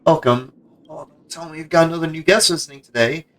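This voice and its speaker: Man voicing a chatty aging talking cello, bright-toned. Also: on the high side, fast, voice pushed up, close to an everyday voice. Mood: chatty, welcoming. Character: chatty, aging female floating cello, music shop keeper. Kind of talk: reading game dialogue aloud.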